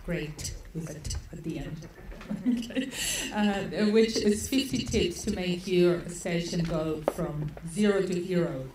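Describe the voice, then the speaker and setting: A woman's voice: fairly low pitch, slow, hardly any pauses, background noise. Aussie lady kicking off a conference, chatty and laughing, far off the mic in a roomy hall. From Australia. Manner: chatty, laughs often, many fillers. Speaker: event organizer introducing speakers. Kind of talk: opening remarks at a seminar.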